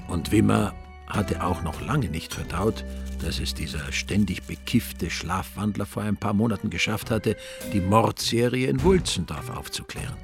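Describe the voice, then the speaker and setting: An actor playing the wry, grumbling narrator of a radio crime drama, with an Austrian accent and a deadpan manner. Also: male voice, low-pitched, gravelly, heavily acted.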